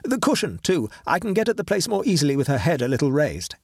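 A man voicing an eager, ruthless male scientist who talks in an urgent, excited way, raising his voice higher than his narration. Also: quick pace, breathy edge, no echo, clean studio sound, exaggerated voice.

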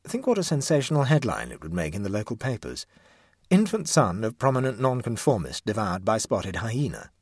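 A man's voice: on the low side, sing-song, fairly quick, husky, dry recording, clean studio sound, pitched above the performer's own voice, full character voice. A man voicing an idle, flippant young house guest in a storybook, lazily and drily mocking. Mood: mischievous teasing.

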